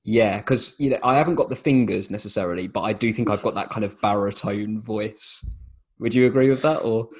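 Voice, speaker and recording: A young man with a slightly husky, breathy voice, co-hosting a podcast. He talks casually into a studio microphone and lands teasing jokes in a dry, deadpan way. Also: on the low side, brisk. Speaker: podcast co-host. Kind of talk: casual comedic chat.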